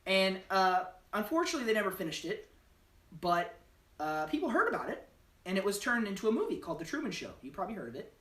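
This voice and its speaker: Woman, deadpan, halting delivery, lively pitch, echoey room, distant mic. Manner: deadpan, halting with fillers and restarts. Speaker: channel co-host. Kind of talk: mock-serious joke interview.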